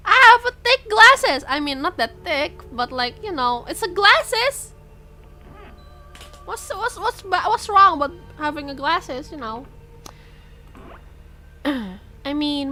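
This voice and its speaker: A young woman with an Indonesian accent streams a visual novel, reading its lines aloud and then reacting in an animated mix of English and Indonesian. Her voice sits a little high and is bright and sharp, and it swings widely in pitch. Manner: animated, switches between English and Indonesian, breaks into excited outbursts. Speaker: virtual streamer.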